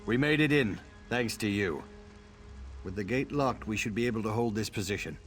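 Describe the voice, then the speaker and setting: An actor playing an earnest army commander in a fantasy video game, briefing in a firm, deliberate way. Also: male voice, lowish voice, a bit bright, faint music behind, close to an everyday voice. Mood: resolute. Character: earnest male army commander, a worried father.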